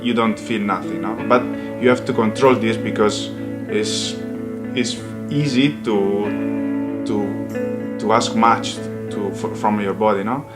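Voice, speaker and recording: Man, halting English. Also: fairly low pitch, fairly even intonation, loud music behind the voice. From Italy. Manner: halting English with tag questions. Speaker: professional cyclist. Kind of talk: interview.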